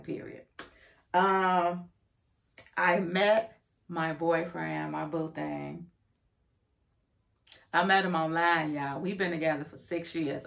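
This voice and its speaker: Woman, 40s, deep voice, gravelly, casual vlog chat. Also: a bit bright. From Chicago, South Side. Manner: chatty, self-correcting, slangy and proud. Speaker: vlogger. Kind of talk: casual get-to-know-me chat.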